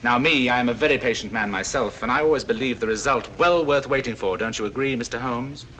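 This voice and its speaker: A man plays an unctuous, patient villain in a 1940s film, all courtesy and veiled menace. His mid-pitched voice has a sharp, forward brightness, over faint soundtrack noise. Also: close to an everyday voice. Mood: politely menacing. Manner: unctuous, over-polite, deliberate phrasing. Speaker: film actor.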